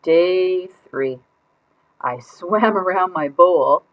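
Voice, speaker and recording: A woman's voice: fairly low pitch, extremely slow. A woman reading a children's picture book aloud as a put-upon goldfish keeping a diary, with exaggerated, exasperated emphasis. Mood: weary, deadpan. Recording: dull lo-fi sound.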